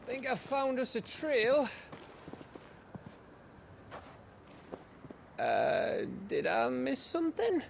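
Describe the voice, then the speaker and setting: A man playing a breezy, rough-and-ready scout in a cinematic video game, with a colloquial, easygoing way of talking. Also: very high voice, wildly swooping pitch, unhurried, a little hiss or hum, exaggerated voice. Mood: puzzled.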